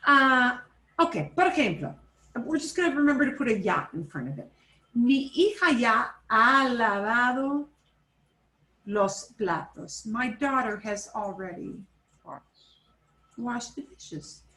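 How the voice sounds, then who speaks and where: A woman teaching a Spanish class over a video call, switching between Spanish and English and repeating phrases slowly. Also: fairly high pitch, expressive, bright, forceful tone, reverberant, low-quality recording. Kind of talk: online class instruction.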